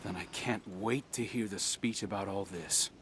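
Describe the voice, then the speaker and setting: Man acting a troubled young soldier, lowish voice. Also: normal speed, background noise, close to an everyday voice. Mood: bitter, defiant. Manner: earnest, challenging. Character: troubled, outspoken younger male soldier with a conscience. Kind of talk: video game cutscene acting.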